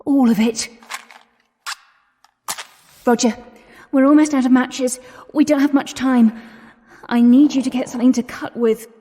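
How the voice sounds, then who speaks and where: A woman's voice: high-pitched, steady tone, unhurried, pauses often, mellow. An actress playing a frightened but resolute young Englishwoman in a 1920s period radio drama, speaking urgently in an English accent. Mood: nervous, urgent. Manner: urgent, anxious. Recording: long reverberant space, music underneath. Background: music.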